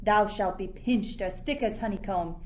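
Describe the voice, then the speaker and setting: A woman's voice: mid-range voice, normal speed, darkish tone, a little hiss or hum, natural voice. A young woman playing an imperious sorcerer who rules an island, in theatrical Shakespearean verse for a radio play. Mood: furious, contemptuous. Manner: commanding, theatrical. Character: Prospero, imperious, stern sorcerer ruling an island.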